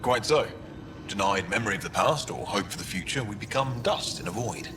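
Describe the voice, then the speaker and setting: A man's voice: fairly low pitch, expressive, a little fast, background noise, natural voice. An actor playing a learned, courteous male alien chronicler in a video game, speaking formally and eloquently. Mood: courteous, grave.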